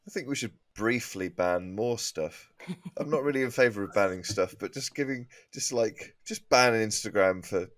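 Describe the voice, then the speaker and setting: A man guesting on a comedy podcast, bantering casually in a halting, deadpan manner. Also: fairly low pitch.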